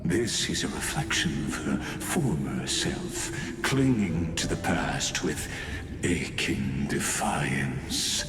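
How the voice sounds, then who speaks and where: An actor playing an ominous, pompous male villain in a game, speaking in deliberate, philosophical and condescending monologues. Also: rumbling low, heavily acted. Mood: grave narrating. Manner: slow, deliberate, pompous, theatrical. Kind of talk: dramatic game character monologue. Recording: echoey hall reverb, music underneath.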